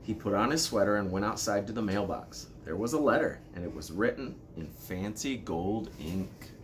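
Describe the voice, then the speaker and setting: Low-voiced man reading a storybook, background noise. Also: expressive, normal speed. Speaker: elementary school principal reading aloud. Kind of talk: children's storybook read-aloud.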